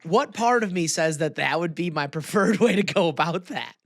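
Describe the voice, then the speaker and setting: Higher-pitched American man, close dry sound. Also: expressive, moderate pace, creaky, no room sound. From Midwestern United States. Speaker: podcast co-host. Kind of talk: casual chat.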